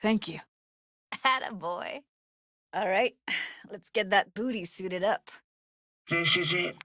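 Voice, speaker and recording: A woman's voice: medium pitch, takes it slowly, bright, forceful tone, no echo, studio quality. A woman voicing a young, streetwise techie in a video game, blunt and guarded with tenderness underneath, speaking in casual, clipped slang. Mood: upbeat, focused. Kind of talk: naturalistic video game voice acting.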